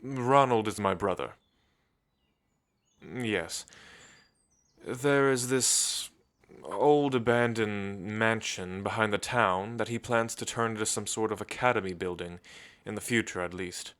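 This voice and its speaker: Guy doing a courtly ancient vampire for asmr, lowish voice, really slow, wistful and old-fashioned. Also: fairly bright tone. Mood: brooding, wistful. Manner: measured, old-fashioned, hesitant pauses. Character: refined, centuries-old gentle male vampire, wistful and courtly. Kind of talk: intimate ASMR roleplay acting.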